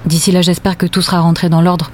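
A woman's voice: fairly low pitch, level tone, quick pace, a little hiss or hum, lightly acted. An actress playing an anxious, questioning wife and mother in an audio drama. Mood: polite, hopeful. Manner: concerned, questioning. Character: anxious, doubting wife and mother of rescue worker.

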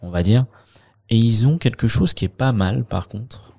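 A French accountant talking through his work in a relaxed interview, with many fillers and restarts. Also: medium pitch, moderate pace, creaky, slightly rough. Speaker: accountant, guest. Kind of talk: conversational interview.

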